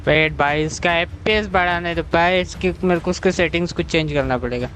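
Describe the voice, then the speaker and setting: Very fast-talking Indian male streamer. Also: fairly high pitch, fairly bright tone, soft background music. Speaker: live game streamer. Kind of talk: casual livestream commentary.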